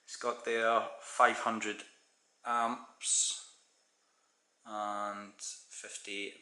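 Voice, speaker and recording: A man's voice: fairly low pitch, level tone, drawn-out words, pushed and bright. A Scottish man chatting casually as he unboxes parts on his kitchen counter, thinking aloud and correcting himself. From Scotland. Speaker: DIY hobbyist doing an unboxing. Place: home kitchen, indoors. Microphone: camera mic, speaker off-screen filming his hands. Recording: echoey kitchen with background noise.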